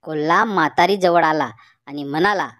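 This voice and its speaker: A woman's voice: deep voice, moderate pace, fairly bright tone. A woman narrating a scripted children's story as the storyteller.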